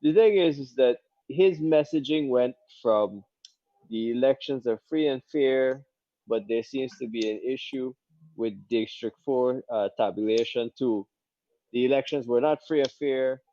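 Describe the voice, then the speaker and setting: Mellow man, dull dry sound. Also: mid-range voice, a little slow, dry recording. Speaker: panel guest. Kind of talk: conversational political analysis.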